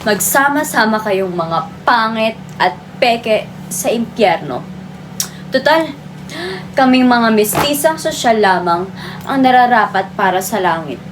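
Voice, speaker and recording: A woman playing a vain, pompous high-society doña in a theatrical monologue, scornful and scolding. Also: noisy background. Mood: indignant, disdainful.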